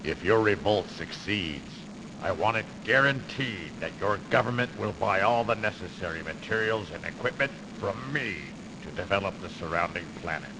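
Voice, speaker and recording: A man playing a crooked, persuasive industrialist in a video game, with a gravelly voice and insinuating, theatrical delivery. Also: low-pitched, expressive, normal speed. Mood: businesslike, confident. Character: crooked, smooth-talking industrialist man, calculating, persuasive schemer. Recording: noisy early CD game audio.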